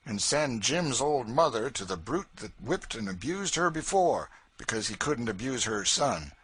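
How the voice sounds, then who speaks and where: A man reading aloud, voicing a defiant young man who speaks slowly and solemnly with force. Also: medium pitch, pitched above the performer's own voice, rough recording. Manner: slow, solemn, forceful declaiming.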